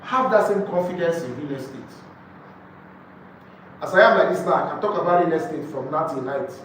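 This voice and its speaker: A man's voice: fairly high pitch, brisk, a bit bright. A man with a Nigerian accent teaching a training session, emphatic, repeating key phrases and putting rhetorical questions to his listeners. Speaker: real estate sales trainer. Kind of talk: live training lecture to a group. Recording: echoey room, distant mic, faint noise.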